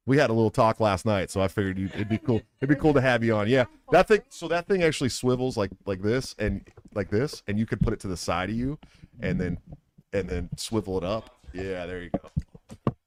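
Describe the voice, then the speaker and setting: A man's voice: fairly low pitch, fairly quick. An American man hosting a casual live interview, speaking in an earnest, informal way. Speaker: podcast host. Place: live at a political convention.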